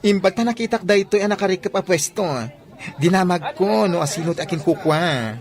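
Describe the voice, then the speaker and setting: A man's voice: high voice, brisk. Doting, fussing husband, acted by a man. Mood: affectionate, hopeful. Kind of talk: Ilocano radio drama acting.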